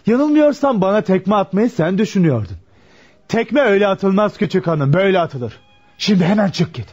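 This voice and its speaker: A man's voice: high voice, very animated, fairly quick, darkish tone, breathy edge, full character voice. An actor playing a proud young shopkeeper and suitor, respectful to his elders, in a Turkish radio play. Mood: scornful. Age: young adult. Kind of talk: Turkish radio theater acting. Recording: muffled lo-fi radio drama recording.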